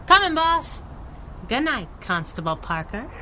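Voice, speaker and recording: An actress playing a young, sassy, wisecracking masked sidekick in a pulp adventure radio drama. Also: female voice, sits high, big pitch swings, a little slow, noisy background. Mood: teasing, brisk. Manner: sassy, snappy. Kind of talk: radio drama acting in 1930s pulp style.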